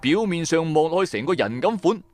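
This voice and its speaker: A man's voice: fairly high pitch, sing-song, brisk, faint music behind. A man playing a shrewd, wry trader who narrates his own adventures in colloquial, sardonic radio-drama style. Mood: wry, animated. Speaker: narrator and lead character.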